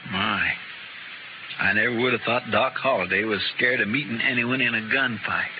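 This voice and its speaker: A noisy old radio western. A man plays a good-natured, simple American frontier deputy in a mid-pitched voice. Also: moderate pace, highs cut off, natural voice. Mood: mild wonder. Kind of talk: 1950s radio drama acting.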